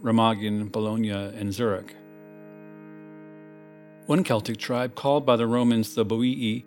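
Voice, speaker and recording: A man hosting with scripted essay narration in a measured, erudite, slightly wry manner. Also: medium pitch, darkish tone. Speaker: host. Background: plucked guitar music.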